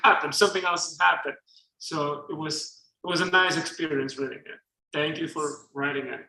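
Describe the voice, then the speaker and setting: Mexican accent guy, higher voice, slow and halting, echoey bookstore room. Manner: halting, restarts a lot, soft-spoken. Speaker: author. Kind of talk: casual talk at a book reading. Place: bookstore event space.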